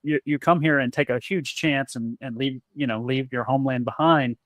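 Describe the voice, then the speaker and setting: Male podcast host, mid-pitched, casual and rambling, dry close sound. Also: moderate pace, dry recording. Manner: rambling, friendly, many restarts. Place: Texas.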